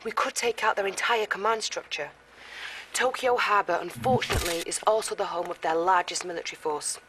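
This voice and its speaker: An actress playing a brisk briefing officer. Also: female voice, fairly low pitch, noisy background, lightly acted. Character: young female military intelligence officer.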